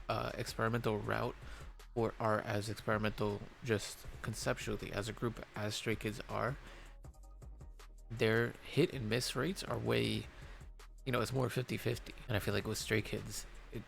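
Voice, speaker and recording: Gravelly, hoarse low-voiced man. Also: little pitch movement, a bit bright, faint music behind. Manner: rambling, halting, full of fillers and restarts. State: hoarse, losing his voice. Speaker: reaction video host. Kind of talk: casual reaction commentary.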